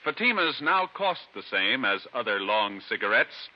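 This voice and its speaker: A man's voice: on the low side, big pitch swings. An American man announcing a 1950s radio show in a formal, emphatic way. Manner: formal, emphatic, measured phrasing. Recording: dull vintage radio recording.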